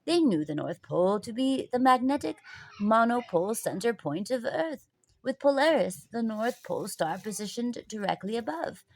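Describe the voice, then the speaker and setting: An earnest storybook grandfather played by a woman explains great secrets to his grandson. He is jovial at heart but deliberate and serious in how he lays things out. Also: on the high side, sing-song, pitched above the performer's own voice. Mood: earnest, grave. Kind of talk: children's storybook reading.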